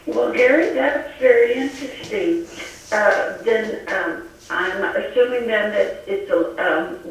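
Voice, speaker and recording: Slow-talking woman, very echoey and distant. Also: mid-range voice. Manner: hesitant, searching for words. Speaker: amateur radio operator. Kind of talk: casual radio chat. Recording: very echoey, distant, dull lo-fi audio.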